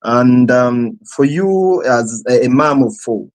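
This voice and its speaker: A man's voice: mid-range voice, animated, takes it slowly, darkish tone, rough recording. A male host leads a panel interview with long, winding questions. He hesitates often, restarting his sentences as he talks. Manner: hesitant, many fillers and restarts, rambling questions.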